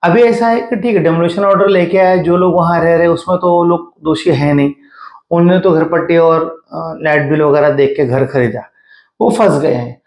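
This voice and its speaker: A man's voice: fairly high pitch, steady tone, moderate pace, darkish tone. A man with a Mumbai Hindi accent explains a civic matter in an interview, emphatically and step by step. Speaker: interviewee commenting on local civic affairs. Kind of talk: spoken news interview, explanatory commentary. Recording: echoey room sound.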